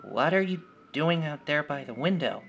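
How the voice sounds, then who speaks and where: A man's voice: on the high side, animated, normal speed, gravelly, fairly bright tone, lo-fi sound, a little hiss or hum, voice pushed up. A man voicing a timid, wondering eight-year-old boy in a horror story, asking things in a hesitant, puzzled way. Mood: amazed, puzzled.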